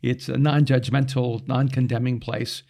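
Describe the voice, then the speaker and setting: Man from El Salvador, Spanish first language, middle-aged, gravelly voice, lively storytelling, pastor on a podcast. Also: mid-range voice, moderate pace. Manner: animated storyteller with quoted asides. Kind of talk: casual conversation.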